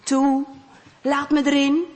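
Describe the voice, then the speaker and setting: A woman's voice: sits high, completely flat delivery, slow pace, big-room sound, voice pushed up. A woman doing an earnest, urging father. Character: determined, polite father.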